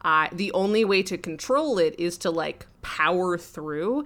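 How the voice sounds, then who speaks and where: A woman co-hosting a podcast in playful, mock-dramatic banter, her register deepened by a cough and pushed out a little like a radio DJ. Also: animated, unhurried, fairly bright tone. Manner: radio DJ-style projection, powering through sentences. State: has a cough. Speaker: podcast co-host. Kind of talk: casual comedic podcast banter.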